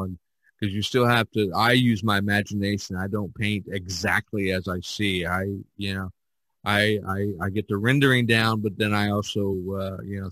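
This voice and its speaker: A man hosting a casual podcast chat, talking in a rambling, halting way with frequent restarts. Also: fairly low pitch, a bit bright, no echo, rough recording. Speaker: podcast host. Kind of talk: casual chat about painting.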